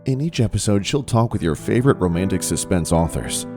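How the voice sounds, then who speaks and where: A man's voice: low-pitched, lively intonation, brisk, a little mellow. Male podcast announcer over guitar music. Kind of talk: scripted promotional narration.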